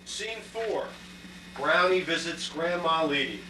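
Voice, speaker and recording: A man's voice: on the high side, animated, takes it slowly, sharp tone, echoey room. A man reading scripted scene narration for an amateur puppet show, recorded from a distance in a noisy room.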